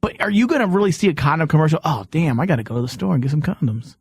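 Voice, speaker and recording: A man's voice: a little high, lively intonation, racing, a little mellow, husky, no room sound. A man hosting a comedy news show with casual, crude banter, joking and rambling in an incredulous way.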